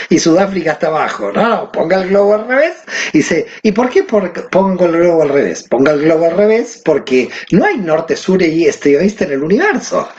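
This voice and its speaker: Male guest teacher from Argentina, animated and expressive, on a muffled recording. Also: high-pitched. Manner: animated, rhetorical questions. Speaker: guest, Kabbalah teacher and author. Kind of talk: conversational explanation.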